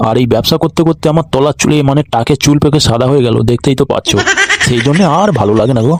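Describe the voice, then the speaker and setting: A man's voice: mid-range voice, very fast, dry recording, studio quality, natural voice. A man voicing a crafty, boastful older village fish seller in a comic cartoon, chatty and foul-mouthed.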